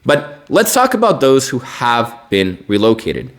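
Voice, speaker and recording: A man's voice: medium pitch, wildly swooping pitch, unhurried, fairly bright tone. A man gives an opinionated news report, explaining emphatically and rephrasing his points. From born in Bosnia, partly raised in the United States. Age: 20s. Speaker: news podcast host.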